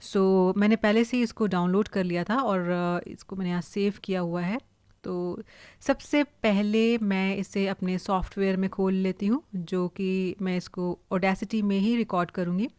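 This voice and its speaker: A woman with an Indian accent talks through a tutorial in a casual, explanatory way. Her pitch sits in the middle for a woman, and the sound is dry, with no room on it. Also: steady tone, unhurried, darkish tone, clean tone, dry recording. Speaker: voice-over artist giving a tutorial.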